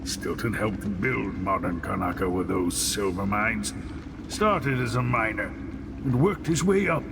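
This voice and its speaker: An actor playing a gruff, weary ally giving mission briefings in a video game, gravelly and matter-of-fact. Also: male voice, fairly low pitch, a little slow. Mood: steady. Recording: long reverb, engine and ambient noise.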